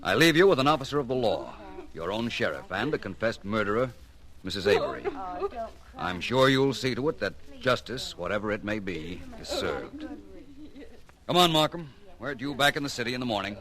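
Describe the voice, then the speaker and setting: An actor playing a calm, courteous, shrewd detective in an old-time radio drama, polished and formal. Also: male voice, lowish voice, moderate pace, crowd noise. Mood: assured. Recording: noisy vintage radio show recording.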